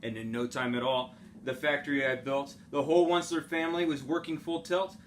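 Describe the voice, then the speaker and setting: A man's voice: on the high side, fairly even intonation, a little fast, a little hiss or hum. A man reading a children's story aloud like a teacher, expressively and with dramatic phrasing.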